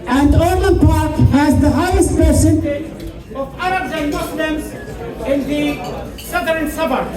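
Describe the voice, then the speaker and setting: A man with a high voice, an imam addressing election candidates at a community event, speaking haltingly and repeating phrases as he finds his words. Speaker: imam of a prayer center. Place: large indoor hall at a public event. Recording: echoey hall, distant mic, crowd murmur.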